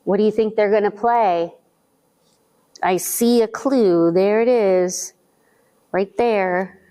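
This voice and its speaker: A woman's voice: medium pitch, clean tone. A woman reading a picture book aloud to young children at a library storytime, asking them questions as she goes. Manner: slow, animated, speaking to young children with questions.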